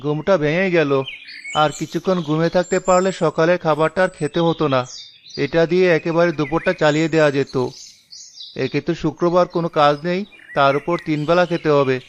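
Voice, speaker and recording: A man's voice: on the high side, fairly even intonation, fairly quick, slight background noise, close to an everyday voice. A man voicing a stingy, irritable village man in a cartoon, grumbling and defensive. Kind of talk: cartoon character voice acting.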